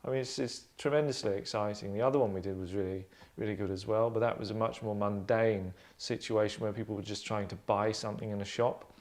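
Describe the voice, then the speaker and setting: Rambling, deadpan man in his thirties. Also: lowish voice, fast talker, few pauses. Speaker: writer and host. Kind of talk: casual group discussion. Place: furnished indoor lounge.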